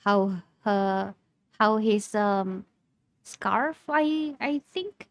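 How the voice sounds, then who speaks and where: A woman's voice: mid-range voice, animated, drawn-out words. A woman giving casual live reaction commentary as a streamer, hesitant and repeating words as she thinks aloud. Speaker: streamer reacting to a game trailer. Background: faint music from the trailer.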